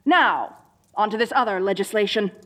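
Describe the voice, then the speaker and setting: A woman's voice: mid-range voice, moderate pace, fairly bright tone, close to an everyday voice. A woman playing a dorky evil sorceress queen in a comedic audio roleplay, theatrical, gloating and petulant, talking straight to the listener. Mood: grudging, stern. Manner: theatrical, gloating, mock-menacing.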